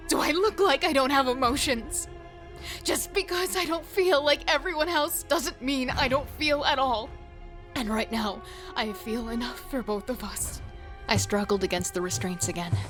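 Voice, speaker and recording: A woman's voice: on the high side, lightly acted. A woman playing an intense, defiant serial killer devoted to her partner, acting and narrating in a horror audio drama. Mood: defiant anger.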